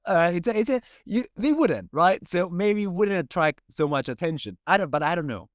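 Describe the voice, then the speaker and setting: A man's voice: sits high, lively intonation, normal speed, no echo. Young male podcast host, dry sound. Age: young adult. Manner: musing, trailing off with unsure asides. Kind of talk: casual podcast conversation.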